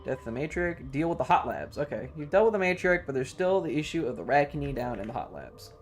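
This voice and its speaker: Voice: mid-range voice, sing-song, quick pace, faint music behind. A streamer casually commenting on a game and chatting with viewers in a rambling, playful, profane manner. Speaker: live streamer.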